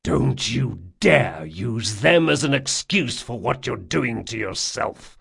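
A male narrator voicing a gruff, hot-tempered big man who scolds his friend in a growling voice, in an audiobook story. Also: mid-range voice, expressive, exaggerated voice. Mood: growling anger. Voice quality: gravelly, growling. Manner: forceful, scolding, drawn-out emphasis.

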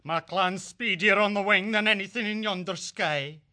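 A man's voice: high voice, normal speed, pushed and bright, pitched above the performer's own voice. A man voicing a proud old male falcon clan chief with a Scottish accent, hearty and commanding.

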